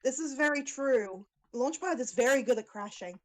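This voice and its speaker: A woman's voice: high voice, lively intonation, moderate pace. A woman chatting casually as a stream guest over a phone, in a playful way. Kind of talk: casual chat. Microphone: phone on a voice call.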